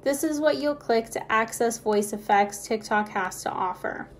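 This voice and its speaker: Higher-voiced woman narrating a tutorial. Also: normal speed, a little hiss or hum. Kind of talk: scripted how-to narration.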